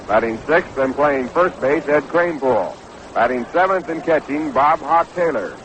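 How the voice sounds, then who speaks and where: A man calling baseball as a play-by-play announcer in a measured 1960s radio style, reading out details in orderly fashion. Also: fairly high pitch, fairly bright tone. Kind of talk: 1960s radio sports commentary. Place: ballpark broadcast booth. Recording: noisy vintage 1960s radio broadcast recording.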